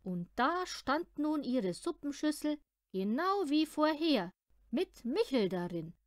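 High, lively woman reading a children's story. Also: slow, dry recording, studio quality. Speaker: audiobook reader of children's stories. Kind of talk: expressive storytelling narration for children.

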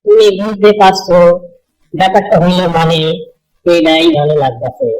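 A man playing an instructive village holy man in a cartoon, explaining things deliberately in a Bangladeshi Bengali dialect. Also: high-pitched, darkish tone, poor audio. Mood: pleased, reflective. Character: visiting village holy man, elder Matua preacher, patient teacher.

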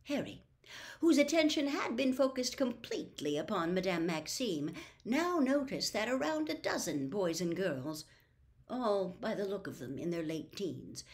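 Slow-paced older woman reading a story. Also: mid-range voice, a little mellow. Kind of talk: expressive audiobook-style reading of a novel. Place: small room at home with bookshelves.

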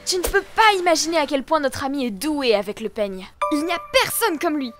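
A woman voicing a bubbly, friendly young girl character in a French puppy cartoon, perky and expressive. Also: high voice, brisk. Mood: cheerful. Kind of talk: French animated series dub. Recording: background music under the voice.

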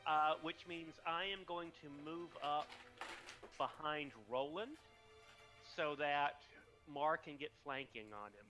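A man with a bright, tense voice and a slightly high pitch calls out rolls over a video call. He hesitates a lot, filling his gaps with ums, and soft music plays faintly behind him. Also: slow. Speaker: player. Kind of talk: casual game chat.

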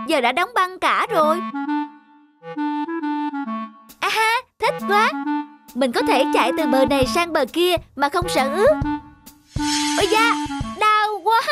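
A woman voicing an eager, cheerful little boy bear cub in a cartoon. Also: very high voice. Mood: excited. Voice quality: bright childlike. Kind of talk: cartoon voice acting. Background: music.

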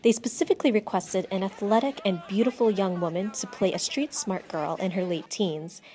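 A young woman student reporter reading a scripted news voice-over clearly and evenly. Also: mid-range voice, normal speed, warm tone, slight background noise. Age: young adult.

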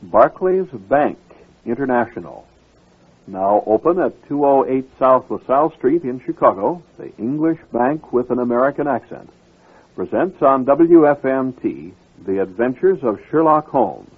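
Husky male radio announcer, phone-like sound. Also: medium pitch, sing-song, unhurried. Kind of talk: scripted ad and programme announcements.

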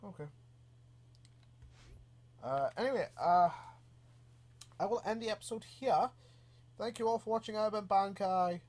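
A man, stuffy from a cold, casually commenting on his own gameplay with relaxed, easily amused remarks and mild swearing. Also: sits high, measured pace, pauses often. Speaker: gaming channel host. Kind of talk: casual let's play commentary.

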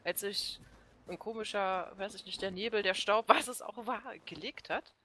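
A woman co-hosting a casual game stream, excitable and exclamatory, breaking into silly sound effects. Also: medium pitch, moderate pace, crowd noise. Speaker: let's play co-host. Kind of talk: casual gaming commentary.

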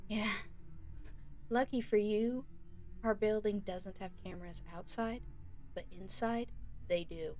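A woman playing a frightened but defiant young female captive in an audio roleplay, pleading and indignant in a halting way. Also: medium pitch, steady tone, darkish tone, soft background music, natural voice.

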